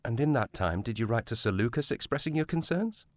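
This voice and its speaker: A man's voice: mid-range voice, lively intonation, fairly quick, no echo, clean studio sound, close to an everyday voice. A man playing a courteous, cautious provincial police sergeant with formal speech in a period audio drama. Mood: probing, polite.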